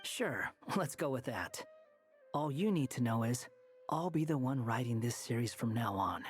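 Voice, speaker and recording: Casting: aloof, clever young male game character played by a man, mid-pitched, smug, dry sarcasm, music underneath. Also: lively intonation, moderate pace, natural voice. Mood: sly, confident. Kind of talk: video game voice acting.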